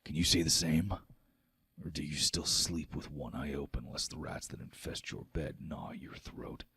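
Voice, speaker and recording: A man's voice: moderate pace, background noise. A man voicing a proud, fierce giant warrior chieftain in a declamatory, theatrical tabletop game style. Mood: cold, menacing. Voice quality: low growl when angered. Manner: declamatory, theatrical, old-fashioned oaths.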